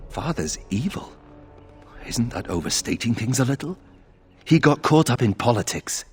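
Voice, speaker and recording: An actor playing a brooding young nobleman in a video game, husky and earnest, with a subdued delivery. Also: mid-range voice, very animated, moderate pace, faint music behind, natural voice. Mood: defensive, stunned. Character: brooding young nobleman troubled by his family's disgrace.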